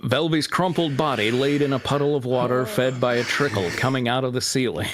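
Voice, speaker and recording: A man's voice: medium pitch, fairly quick, over music. A man reading a fantasy story aloud as its narrator. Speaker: fan fiction reader and narrator. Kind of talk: scripted story narration with joking asides.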